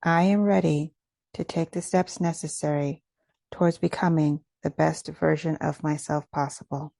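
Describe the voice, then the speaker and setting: Low, mellow woman guiding a meditation. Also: steady tone, vocal fry, no echo. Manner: gentle, measured, instructional delivery. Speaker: meditation guide. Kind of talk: guided meditation.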